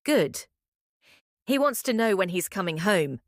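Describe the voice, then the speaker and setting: A woman's voice: mid-range voice, very animated, unhurried, no echo, clean studio sound. A woman playing a worried mother surviving an outbreak in an audio horror story, speaking in short, questioning lines.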